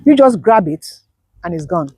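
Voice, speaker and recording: A woman talks as a guest in an interview, her voice husky and soft-edged with a mellow, dark tone. She hammers her points home by repeating them. The sound is close and dry. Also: lowish voice, sing-song, moderate pace, no room sound. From Nigeria. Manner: emphatic, repeats key points. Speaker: guest, business owner. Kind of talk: conversational interview.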